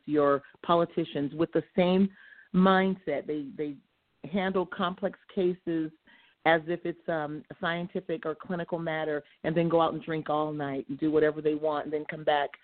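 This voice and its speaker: A soft-spoken woman calling in, giving earnest, rambling commentary with many restarts. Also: deep voice, no echo. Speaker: caller. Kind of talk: call-in commentary. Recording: tinny phone line.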